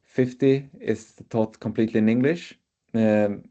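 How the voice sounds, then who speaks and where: A man with a Swedish accent gives a university webinar presentation, often pausing on filler sounds. His voice sits low and stays fairly flat, with a mellow, dark tone. The sound is muffled, as over a video call. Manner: hesitant, frequent filler sounds. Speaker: university representative.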